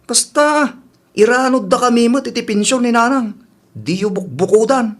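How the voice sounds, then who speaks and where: A man's voice: very high voice, lively intonation, moderate pace, a bit bright. A man playing a grown son in a radio drama, talking in a casual, persistent way. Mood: nagging. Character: reassuring but distrustful grown son.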